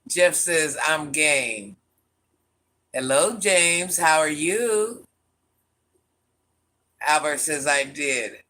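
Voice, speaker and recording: Sharp-voiced woman teasing her viewers. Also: low-pitched, extremely slow, long pauses. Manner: teasing, talks straight to viewers by name, laughs often; calls herself Granny. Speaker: livestream host reading viewer comments. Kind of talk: casual livestream chat.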